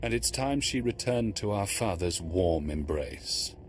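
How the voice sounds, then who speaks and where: Sith villain: game role, very low, unhurried, mocking and courtly, devoted to his emperor. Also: expressive, a little hiss or hum. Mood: smug menace. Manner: measured, formal, taunting. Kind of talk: dramatic video game acting.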